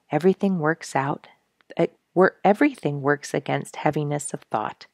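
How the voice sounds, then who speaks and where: A woman reading a story aloud in an expressive, earnest and gentle way, often dropping to a soft hush. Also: low voice, creaky, no room sound, clean studio sound. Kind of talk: expressive audiobook narration for families.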